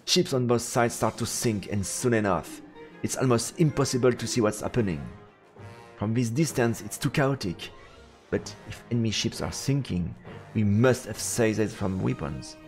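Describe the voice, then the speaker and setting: A man's voice: medium pitch, normal speed, a little mellow. A man narrating a fantasy visual novel aloud as a streamer, in a dramatic storybook style. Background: faint game music.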